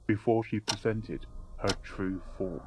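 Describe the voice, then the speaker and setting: A man voicing an earnest, probing pony doctor who questions deliberately in a dramatic fan fiction reading. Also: lowish voice, natural voice. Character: earnest, probing stallion doctor investigating a dark case. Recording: noisy home recording.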